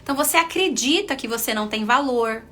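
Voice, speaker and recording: A woman's voice: a little high, animated, quick pace, a bit bright. A middle-aged Brazilian woman with a Brazilian Portuguese accent, a confidence coach chatting casually to her viewers, addressing them as friends. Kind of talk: casual livestream talk to followers.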